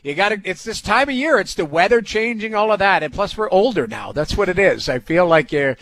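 Congested middle-aged male co-host, easygoing and agreeable, slightly higher voice. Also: lively intonation, a little fast, a bit bright. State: congested, stuffy. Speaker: radio co-host. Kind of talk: sports talk radio.

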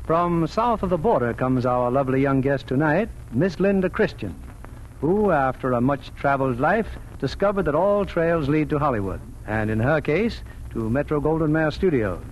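A man's voice: fairly high pitch, animated, normal speed, a little hiss or hum, poor audio. A man hosting and narrating a scripted 1940s radio show with formal, theatrical announcer delivery. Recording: old 1940s radio recording.

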